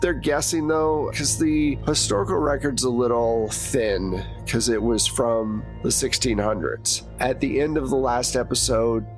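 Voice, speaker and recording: A man running a tabletop game as game master, recapping the story in a relaxed, chatty way. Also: mid-range voice. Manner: relaxed, chatty narration with fillers.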